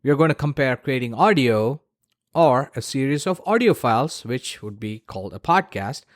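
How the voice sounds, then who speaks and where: A man with a medium-pitched voice talks as the host of a solo podcast. He explains in a chatty, emphatic way, speaking straight to the listener with casual asides. The sound is close and dry, with very little room in it. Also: animated, moderate pace, dry recording.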